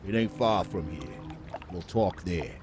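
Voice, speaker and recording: A man playing a streetwise, gruffly friendly pawn shop owner in a video game. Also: low-pitched, expressive, a little slow, music in the background. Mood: amused, warning. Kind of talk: video game cutscene dialogue.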